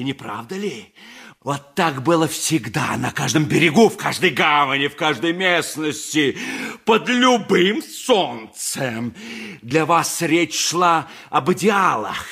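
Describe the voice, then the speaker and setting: A menacing, drunken rogue of a sea captain in a radio drama. The delivery is slow and wildly swooping, in a raspy, forceful voice with theatrical relish. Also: male voice, on the high side, full character voice. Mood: sardonic. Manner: theatrical, swooping, talks to his vodka. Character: boozy, roguish, menacing old-style sea captain. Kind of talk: 1980s radio play acting.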